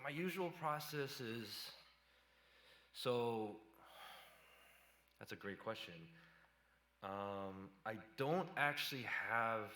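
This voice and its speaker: A man with a low voice, an electronic music producer giving a casual livestream tutorial from his home studio, loose and chatty with many fillers. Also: pushed and bright, slight background noise. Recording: roomy echoey sound.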